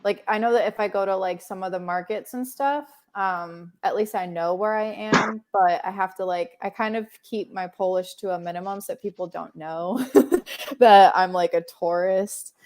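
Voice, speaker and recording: A woman's voice: medium pitch, moderate pace, a little mellow, slight background noise. A young woman hosting a casual livestream chat, rambling with many fillers and laughing often. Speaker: livestream host.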